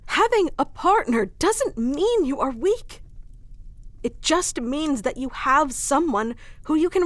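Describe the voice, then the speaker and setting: A woman's voice: high voice, dry recording. A woman playing a proud, wounded fantasy queen in an audio roleplay, speaking with earnest, emotionally charged deliberation. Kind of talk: dramatic audio roleplay acting.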